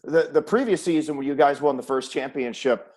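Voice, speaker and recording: A man hosting a sports interview, asking conversational questions with long, measured set-ups. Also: fairly high pitch, a little mellow. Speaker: host, sports announcer.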